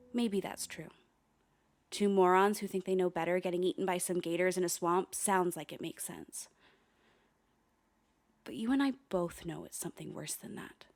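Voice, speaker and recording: An actress playing a haunted, wry young woman who narrates her investigation in a confiding, ominous, deliberate way for a radio play. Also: lowish voice, a little mellow. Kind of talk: dramatic first-person radio play narration.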